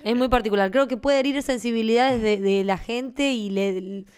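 Argentine woman chatting about movies, smooth clear voice, a bit high. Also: normal speed, a little mellow. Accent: Argentine Spanish. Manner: conversational, agreeing often. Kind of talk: casual chat about films.

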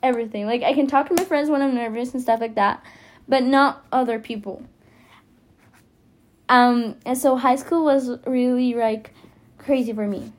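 Teenage girl with a Spanish accent, higher voice. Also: steady tone, normal speed. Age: teenager, high school freshman. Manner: informal, hesitant, self-conscious rambling. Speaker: podcast host. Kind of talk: casual personal podcast monologue.

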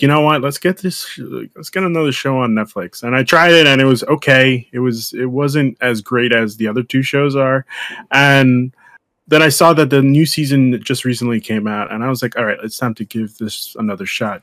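A male podcast co-host talking casually, wandering through his point with fillers and restarts. His voice is mid-pitched and sits in a dry, dead-sounding room. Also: moderate pace, fairly bright tone, dry recording. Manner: rambling, many restarts and fillers. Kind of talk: casual chat.

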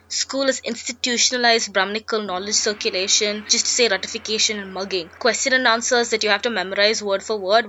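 A woman's voice: medium pitch, sharp tone, slight background noise. A young woman, reading a scripted personal essay in an articulate, sharply political and wry way. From India. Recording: dull lo-fi recording.

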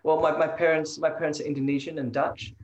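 An Australian musician in a casual interview, talking with hesitant, repeated words and frequent laughter. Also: male voice, on the high side, little pitch movement, fast, lo-fi sound. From Sydney, Australia. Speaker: band member guest. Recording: roomy echoey sound.

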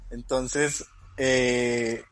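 Male guest, 30s, mid pitch, dry close mic, easygoing storyteller who chuckles. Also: level tone, drawn-out words, no room sound, rough recording. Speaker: Bible teacher, podcast guest. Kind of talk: casual interview.

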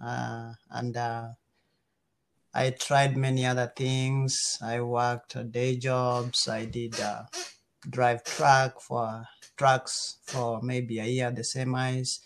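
A middle-aged man with a Kenyan accent, talking casually as an interview guest and pausing often mid-sentence. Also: medium pitch, little pitch movement, slight background noise.

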